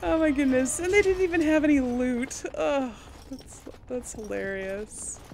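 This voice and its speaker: A woman's voice: high-pitched, a little slow, gravelly, a little mellow. A giggly, easily startled woman giving casual video game commentary, reacting aloud as she plays. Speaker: gamer, video game playthrough host. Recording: game music behind the voice.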